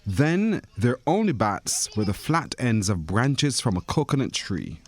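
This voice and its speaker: A man narrates a children's story in a mid-pitched voice with animated, widely varied intonation. He reads expressively, as a storyteller does for young listeners. A faint layer of ambient noise sits behind him. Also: a little slow. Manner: expressive, acts out characters' lines. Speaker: story reader.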